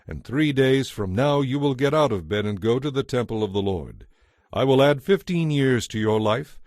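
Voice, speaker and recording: Man narrating an audio bible from a script, medium pitch, really dry sound. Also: a little mellow, no room sound.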